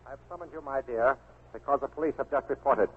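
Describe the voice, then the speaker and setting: An actor playing a stern, silkily menacing Japanese official in a 1940s radio drama, formal and imperious. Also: male voice, medium pitch, quick pace, breathy edge, soft background music, lightly acted. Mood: coldly formal. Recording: tinny, band-limited 1940s radio recording.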